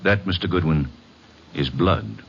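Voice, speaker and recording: An actor playing a courteous but coldly menacing crime boss in an old radio detective drama, speaking formally and with measured threat. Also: male voice, a bass voice. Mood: polite menace. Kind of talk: 1940s-50s radio detective acting. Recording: muffled, noisy old radio recording.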